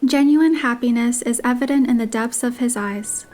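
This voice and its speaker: A woman's voice: a little high, normal speed, darkish tone. A woman reading scripted narration for a romance story, with guitar music behind her.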